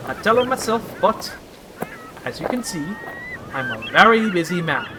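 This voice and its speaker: A man playing a self-important, blustering railway controller in an amateur audio drama, pompous and halting. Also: high voice, big pitch swings. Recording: noisy track with background ambience.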